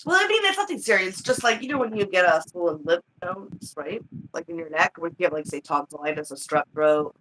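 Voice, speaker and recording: Chatty, slightly croaky woman. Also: lowish voice, expressive, brisk, few pauses, a bit bright, a little hiss or hum, poor audio. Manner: chatty, trailing off with many likes and laughs. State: slightly croaky from a swollen throat. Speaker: guest reader. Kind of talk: casual livestream chat.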